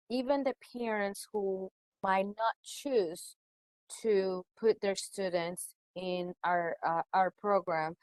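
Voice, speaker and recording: Female educator, native Spanish speaker, webinar delivery with emphasis on key words, medium pitch, dry recording. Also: dry recording, rough recording. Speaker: dual language school educator presenting. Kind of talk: webinar presentation.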